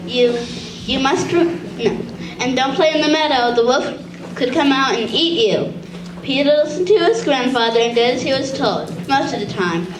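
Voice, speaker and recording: A female narrator reading a children's story aloud from a script, haltingly, with stumbles and repeated words. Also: high-pitched. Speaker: narrator of a shadow puppet show. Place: echoey room with an audience. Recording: echoey room with loud audience noise. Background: loud crowd.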